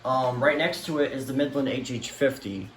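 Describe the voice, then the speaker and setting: A man's voice: medium pitch, normal speed, fairly bright tone. A man giving a casual, unscripted vlog tour of a collection in a rambling, mildly exasperated way, repeating himself as he goes. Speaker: vlogger showing a weather radio collection. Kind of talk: casual unscripted show-and-tell. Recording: echoey room with faint background noise.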